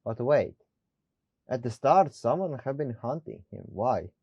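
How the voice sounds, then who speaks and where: A man's voice: medium pitch, animated, measured pace, a little mellow, husky, no room sound. A man giving casual let's play commentary, with non-native English phrasing, recorded close on a dull microphone. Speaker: gaming YouTuber.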